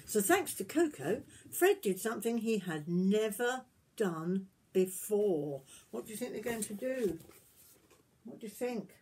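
A woman in her sixties reads a picture book aloud as a granny would to young children, adding gentle questions and asides to them. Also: mid-range voice, animated, unhurried, slight background noise. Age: 60s. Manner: gentle questioning, addressing young listeners directly. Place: small wood-panelled garden room, indoors.

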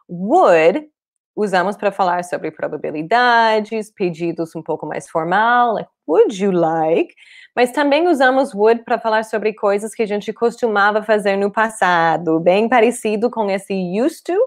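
A woman in her thirties, a native English speaker with a foreign accent in Portuguese, teaching an online language lesson in a clear, deliberate way. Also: medium pitch. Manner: clear, deliberate explaining with repeated examples. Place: small room indoors, at a desk.

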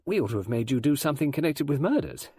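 Guy doing a cheeky nosy teen girl in a storybook, pitched up, swoopy, pushy questions. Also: animated, quick pace, mellow, breathy edge, dry recording, studio quality. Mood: pushy, curious. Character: boastful, nosy, sturdy thirteen-year-old girl.

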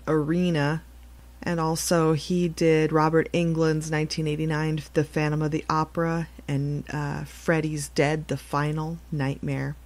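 A woman with a low voice delivers a solemn announcement as a podcast host. She speaks very slowly and evenly, halting now and then between phrases. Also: darkish tone. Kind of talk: solemn news-style obituary announcement.

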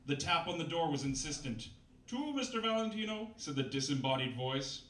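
A man's voice: on the high side, sing-song, normal speed, a bit bright. A man in his thirties reading a novel aloud at a live reading night, in a mock-dramatic way with wry asides. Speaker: reader at a comedy reading night. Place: small bistro stage with live audience. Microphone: stand mic, filmed from far camera. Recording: roomy, distant camera audio, faint noise.

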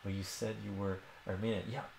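Middle-aged man with a deep, gravelly voice, talking through a math problem in a relaxed, chatty way and checking in with "right?" after each step. He's recorded on a webcam mic in a small room that rings with echo. A faint hum sits under his voice. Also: fairly quick. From Iran. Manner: chatty, repeats phrases and tags "right?" often. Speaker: math streamer. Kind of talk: casual live math lesson at a whiteboard. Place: small home room with bookshelves.

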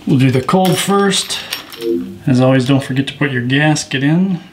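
A man's voice: medium pitch, normal speed, slight background noise, rough recording. A man hosting a home repair tutorial, talking plainly step by step as he explains the work. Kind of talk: how-to narration and muttering while working.

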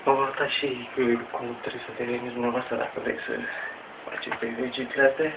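A man speaks in a halting, rambling way, as if making up his home exercise video as he goes. His voice sits at a middling pitch. It is picked up from some distance by a webcam mic, with a very thin, tinny sound. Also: little pitch movement, background noise. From Romania. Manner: rambling, halting, mild swearing. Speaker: amateur exercise demonstrator. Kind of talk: casual home vlog, improvised exercise demonstration. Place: small room at home.

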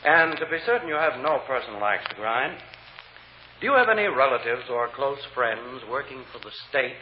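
A man playing an insistent trial lawyer who questions a witness, pausing with uhs and rephrasing, in vintage radio drama style. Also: medium pitch, animated, close to an everyday voice. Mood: probing, firm. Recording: muffled, faintly hissy old radio recording.

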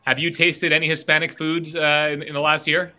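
A man's voice: fairly high pitch, flat delivery, brisk, pushed and bright. A middle-aged man interviewing students over a video call in an upbeat, encouraging way. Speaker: interviewer host. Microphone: webcam on a video call.

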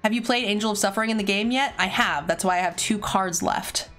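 A woman live-streaming a card game, a little raspy as she is losing her voice, chatting casually and answering viewers' questions between plays. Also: mid-range voice, a little fast, a bit bright, faint music behind.

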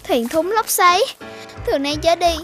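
A curious, cautious cartoon child. The kid sounds full of questions, over clear background music. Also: female voice, very high voice, lively intonation, measured pace. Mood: amazed, wary. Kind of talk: animated cartoon dubbing.

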